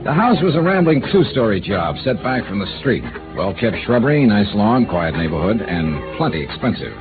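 An actor playing a dry, deadpan insurance investigator who narrates and talks in a 1950s radio drama. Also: male voice, lowish voice, normal speed. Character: wry, hard-boiled insurance investigator man. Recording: lo-fi old radio broadcast with music.

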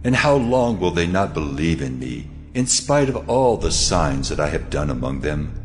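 Very deep, wrathful God. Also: male voice, very animated, moderate pace, faint music behind, full character voice. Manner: solemn, declamatory. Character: God, angry, commanding, majestic male deity. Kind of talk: dramatized audio Bible acting.

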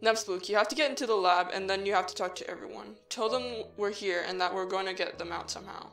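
Medium-pitched, take-charge young leader, a woman acting. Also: fast talker, a bit bright, soft background music, close to an everyday voice. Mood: practical. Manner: direct, reassuring, practical. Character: earnest, take-charge human child leader. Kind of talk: fan audio drama acting.

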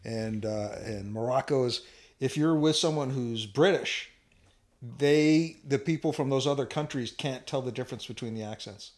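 A man of middle pitch chats casually on a podcast. He sounds earnest and low-key. His words often trail off quietly. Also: moderate pace. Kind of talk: casual chat.

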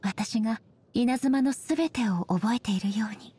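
Breathy, solemn shogun goddess. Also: female voice, on the high side, warm tone, no echo. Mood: wistful, sincere. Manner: formal, measured, polite, solemn. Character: solemn, dignified ageless shogun goddess, stern yet softly sincere. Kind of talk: scripted game character voice lines.

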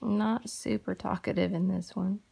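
A woman hosting a crochet tutorial, talking softly and unhurriedly in a gravelly voice, having just woken up. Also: mid-range voice, steady tone. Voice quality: gravelly morning voice. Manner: soft-spoken, unhurried with fillers. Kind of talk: casual tutorial chat while crocheting.

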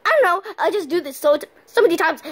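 A woman's voice: high voice, big pitch swings, heavily acted. A woman voicing a goofy, flustered young male puppy who stammers and rambles in a fan-made cartoon play. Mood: nervous. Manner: stammering, rambling, nervous fast bursts.

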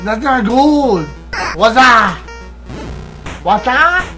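A man playing a slow, dim luchador sidekick. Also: very high-pitched, animated, bright, forceful tone, faint music behind, exaggerated voice. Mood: pained. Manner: terse interjections in broken English. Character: dim male luchador sidekick who mostly says yes. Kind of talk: comic game review in character.

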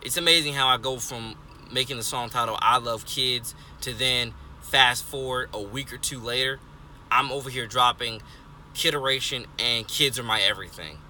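A man's voice: mid-range voice, normal speed, bright, forceful tone, a little hiss or hum. Earnest twenty-year-old man rambling in a car. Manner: earnest, repetitive with many fillers and restarts. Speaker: vlogger. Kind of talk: rambling casual monologue. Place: parked car, indoors of vehicle. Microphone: phone held close, selfie framing.

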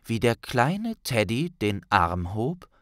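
Male narrator, dramatic story reading, deliberate, animated intonation, dry recording. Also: medium pitch, no room sound. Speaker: audiobook narrator. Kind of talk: scripted dramatic narration of fan fiction.

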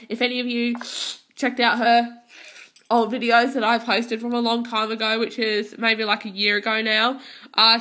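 A woman's voice: fairly high pitch, bright, forceful tone. A teenage girl with a head cold and a stuffy, tired voice, chatting casually about her pet game with many hesitations. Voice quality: hesitant, many ums and restarts. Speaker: gaming channel host. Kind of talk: casual gameplay commentary. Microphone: phone filming a handheld console screen.

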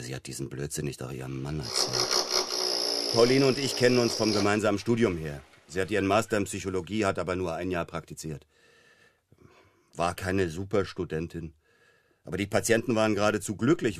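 An actor playing a self-assured male psychotherapist in a German radio crime drama, measured, condescending and dryly ironic. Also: deep voice, noisy background, close to an everyday voice. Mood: smug, deliberate.

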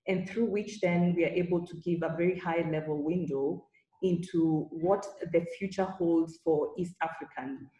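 A woman from Kenya presenting research on a panel in a measured, deliberate way. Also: on the low side, warm tone, roomy sound, rough recording.

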